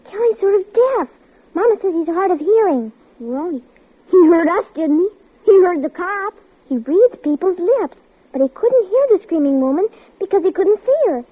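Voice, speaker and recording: A woman's voice: extremely high pitch, lively intonation, moderate pace. An actress playing a stubborn, anxious young girl in an old radio drama, stammering and pleading as she narrates. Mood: worried. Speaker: child lead and narrator. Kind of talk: 1940s radio acting. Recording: tinny, hissy old radio broadcast.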